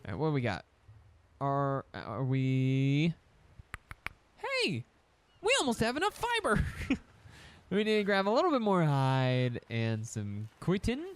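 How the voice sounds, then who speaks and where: A man's voice: medium pitch, very animated, a little slow, long pauses, clear, resonant tone. A young man casually commentating a survival game, rambling to his in-game pets and his audience. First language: English. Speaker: gaming YouTuber. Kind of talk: casual let's-play commentary.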